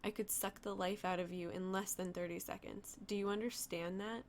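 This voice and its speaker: A woman voicing a young female vampire who speaks in a calm, matter-of-fact way with a teasing edge. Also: mid-range voice, moderate pace, slight background noise, close to an everyday voice. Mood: menacing.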